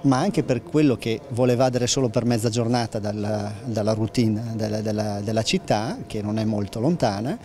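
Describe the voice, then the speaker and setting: A man's voice: lowish voice, normal speed, few pauses, warm tone. A man, explaining things in an interview as a spokesman. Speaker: project spokesman. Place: indoor lobby. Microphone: handheld reporter microphone.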